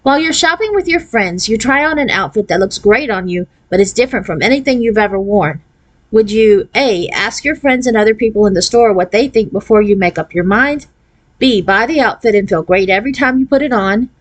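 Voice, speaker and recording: A woman's voice: medium pitch, lively intonation, fairly quick, close to an everyday voice. A woman voicing a blunt, bossy fifth-grade girl with a dry wit in a children's storybook. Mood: brisk quizmaster. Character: Kate, brisk, sardonic fifth-grade girl, movie buff.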